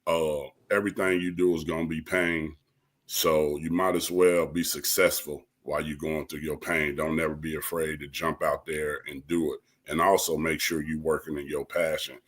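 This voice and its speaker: A man with a deep voice and a bright, forceful edge chats as a cast member on a panel. His phrasing is colloquial and encouraging. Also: level tone, normal speed. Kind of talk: casual chat.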